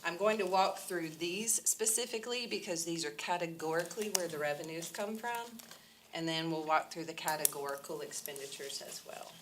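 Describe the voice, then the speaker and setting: Low-voiced woman with a head cold. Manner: measured, formal, with frequent ums. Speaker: county administrator presenting budget. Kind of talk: budget presentation at public workshop. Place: council meeting room. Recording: noisy public meeting recording.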